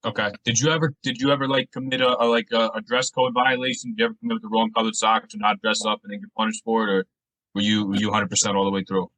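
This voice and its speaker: A man's voice: lowish voice, level tone, quick pace, bright, forceful tone, dry recording, low-quality recording. A man hosting a sports podcast, asking conversational interview questions with long, detailed lead-ins.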